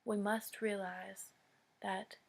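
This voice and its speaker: An American woman giving an earnest public address, speaking solemnly and deliberately in short phrases with long pauses. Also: mid-range voice, little pitch movement, gravelly. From United States.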